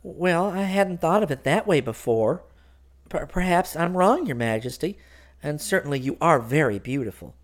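A man's voice: on the high side, animated, moderate pace, pitched above the performer's own voice, natural voice. A man voicing an earnest, modest and polite little girl turned lark in a storybook. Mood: doubtful, conceding. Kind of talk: storybook character voice.

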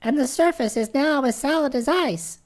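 A man voicing a proud young talking deer in a very high, squeaky falsetto for a storybook reading. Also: normal speed. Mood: earnest.

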